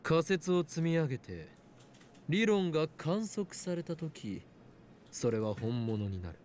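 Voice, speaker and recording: A man's voice: a little high, very animated, moderate pace, a little mellow, a little hiss or hum. A man playing a dramatic, self-styled young mad scientist in a visual novel, theatrical and declamatory. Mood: defiant, theatrical. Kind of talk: Japanese visual novel voice acting.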